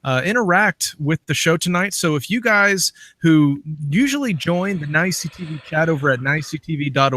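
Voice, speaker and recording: A man with a moderately high voice hosts an online interview show. His delivery is casual and conversational, that of an interviewer addressing both a guest and live viewers. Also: fairly bright tone. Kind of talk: casual live-stream hosting.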